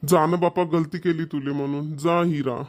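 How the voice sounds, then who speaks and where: A resigned middle-aged village husband from a cartoon comedy, acted by a man. His voice sits a little high for a man, and he grumbles in homely Varhadi Marathi. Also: fairly even intonation, normal speed, close to an everyday voice. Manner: complaining, colloquial.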